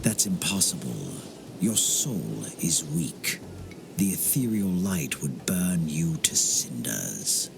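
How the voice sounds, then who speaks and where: An actor playing an ancient, menacing fae seer in a fantasy game, theatrical and portentous with whispery turns. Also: male voice, deep voice, very animated, slow, vocal fry, background noise, exaggerated voice. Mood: ominous. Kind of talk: fantasy RPG voice acting. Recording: game dialogue over loud music.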